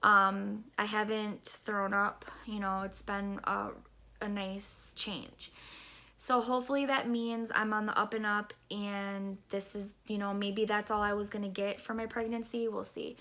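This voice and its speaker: A woman's voice: medium pitch, flat delivery, measured pace, pushed and bright. A woman in her thirties with a stuffy, congested nose, chatting casually in a rambling pregnancy update vlog, recorded on a camera mic in a small bedroom. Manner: chatty, rambling, many fillers and restarts. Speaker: vlogger.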